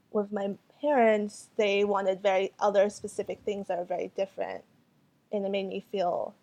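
A woman's voice: medium pitch, a little mellow. A young woman artist whose first language was Cantonese answers interview questions hesitantly, with many fillers and restarts. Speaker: artist and photographer.

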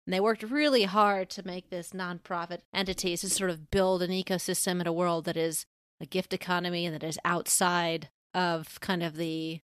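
Casual woman podcaster, crisp close sound. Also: fairly low pitch, a bit bright, no echo. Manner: informal, frequent swearing. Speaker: podcast co-host. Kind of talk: casual opinionated discussion.

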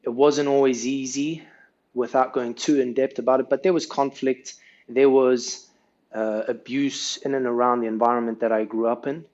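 A man's voice: medium pitch, steady tone, moderate pace, a little mellow, lo-fi sound. A South African man, a podcast guest, telling his life story in a casual, earnest way and often ending sentences with "right?".

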